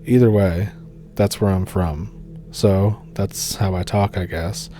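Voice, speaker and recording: A young man from New Brunswick with a Maritime Canadian accent, calmly reading encounter stories as a channel host. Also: deep voice, slow pace, a little hiss or hum.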